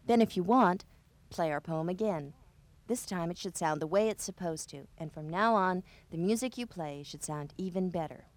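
A woman voicing a sarcastic, nagging female loudspeaker in a comedy sketch, needling her partner. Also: fairly low pitch, no echo, close to an everyday voice. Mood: explaining. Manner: sarcastic, needling, quick to snap. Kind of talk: comedy sketch on a test record.

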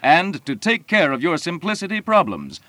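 A man playing a sympathetic, florid patent-medicine pitchman in a vintage radio advertising parody. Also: huge pitch swings, moderate pace, sharp tone, no echo, heavily acted. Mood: earnest, salesmanlike. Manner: florid, emphatic, salesmanlike.

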